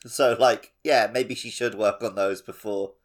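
A man's voice: lowish voice, steady tone, a little slow. A man co-hosting a gaming podcast, bantering casually in a dry, joking way. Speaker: podcast co-host. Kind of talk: casual banter about a video game.

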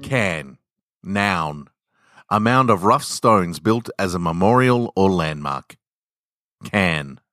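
A man narrating scripted short stories in a deliberate, measured, dramatic storytelling manner. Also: low-pitched, animated, pushed and bright, faint music behind. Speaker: podcast host reading short stories.

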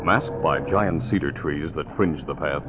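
Deep-voiced old-time radio narrator, male. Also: heavy background noise. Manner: dramatic, measured storytelling. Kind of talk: 1940s radio serial narration, scripted. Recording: muffled vintage radio, music behind.

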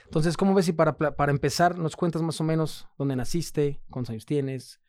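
A man's voice: fairly high pitch, fairly even intonation, a little fast, darkish tone, dry recording. A man hosting a podcast interview, asking questions and repeating his guest's answers back to him. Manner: prompting, recaps answers back. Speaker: podcast host.

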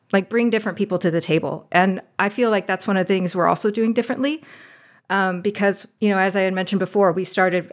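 Enthusiastic woman guest with a mid-range voice, chatty and full of laughs. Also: medium pitch. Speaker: audio drama producer and guest. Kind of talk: casual interview chat.

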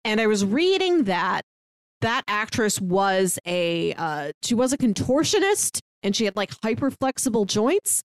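A woman's voice: mid-range voice, very animated, measured pace, dry recording. A woman with a mid-range voice talks eagerly through a film scene in a casual podcast. She leans on "like" as she goes, and the recording is dry and close. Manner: enthusiastic, lots of "like". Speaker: podcast co-host.

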